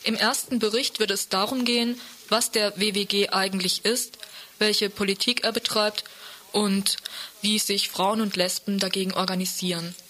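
A woman's voice: medium pitch, steady tone, normal speed, bright, forceful tone. A woman presenting on radio, reading out a scripted news report.

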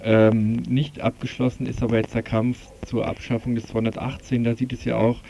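A man's voice: medium pitch, monotone, a little fast, gravelly, warm tone, slight background noise. A man hosting a radio programme, speaking in long sentences as he interviews and moderates. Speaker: radio host. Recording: slightly dull lo-fi radio recording.

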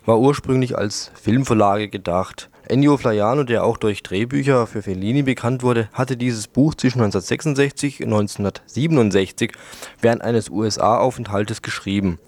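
A man's voice: mid-range voice, moderate pace, a little mellow, dry recording. A man presenting a radio programme, reading from a script.

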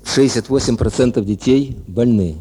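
A man giving halting interview answers as an official, pausing between phrases. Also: medium pitch, dark tone, slightly rough.

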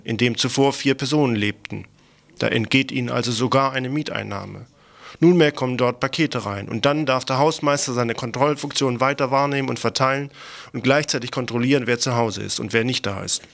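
A man's voice: medium pitch, vocal fry, a bit bright. A man presenting a scripted radio news report in a matter-of-fact, critical, faintly sardonic way.

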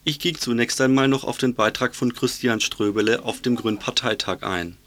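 A man's voice: medium pitch, normal speed, fairly bright tone. A man working as a radio journalist, reading a scripted political report and putting interview questions in a studio.

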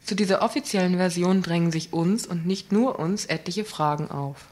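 A woman's voice: lowish voice, moderate pace, darkish tone. A woman reading a scripted report on a community radio news program, earnest and questioning, with small slips.

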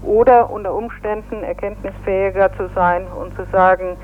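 A woman, a union press spokesperson, giving a measured, formal statement in a phone interview. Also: mid-range voice, steady tone. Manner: formal, measured, legalistic phrasing. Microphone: telephone. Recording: tinny phone line with faint hiss.

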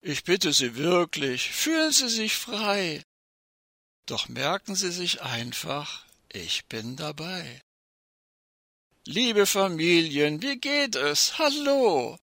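A man's voice: sits high, big pitch swings, bright, forceful tone, dry recording, studio quality, heavily acted. A man playing danger personified as a sinister, falsely courteous guest, reciting satirical verse theatrically, slyly savouring each phrase. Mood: creepily friendly. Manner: mock-polite, sly, deliberate, savouring each phrase.

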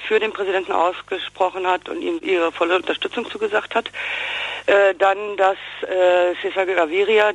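A woman being interviewed, giving a spontaneous, halting report on current events over a dry phone line. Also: fairly low pitch, breathy edge. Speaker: interviewed political observer.

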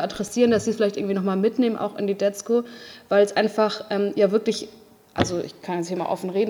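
A woman's voice: medium pitch, a little mellow. A female local politician speaking off the cuff at a council meeting, insistent and frustrated, in a large reverberant room. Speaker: local politician, party group leader. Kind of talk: spontaneous speech in a council meeting.

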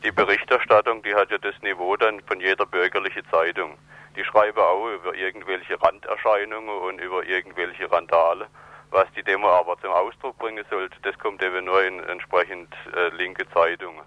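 A man's voice: deep voice, fairly even intonation, a little fast. A man calling a radio phone-in by telephone, giving critical opinions in a blunt, argumentative way over a dull lo-fi line. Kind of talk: critical opinion on a live call.

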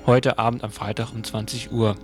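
A man's voice: mid-range voice, flat delivery, normal speed. A man presenting event listings and programme previews on the radio, addressing listeners informally. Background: music.

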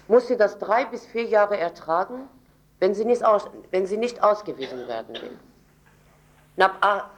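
A woman speaking publicly in a political address at a gathering, recorded live in a room. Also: medium pitch. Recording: roomy, echoing event recording.

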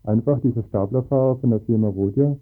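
Casting: man, earnest local interviewee, medium pitch, narrow tinny sound. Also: brisk. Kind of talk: political discussion in a radio interview. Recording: tinny phone-like band, music behind.

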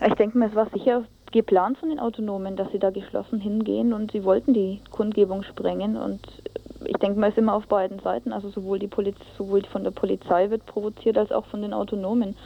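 A woman's voice: medium pitch, little pitch movement, quick pace, creaky, slight background noise. A woman giving an informal eyewitness account as a radio reporter, often searching for words in a colloquial way. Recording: dull lo-fi radio tape.

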